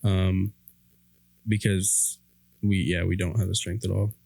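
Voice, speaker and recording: A man's voice: sits low, little pitch movement, unhurried, vocal fry, mellow, dry recording, poor audio. A man hosting a conversational podcast interview on faith, reflective and probing, repeating phrases as he thinks. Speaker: podcast host.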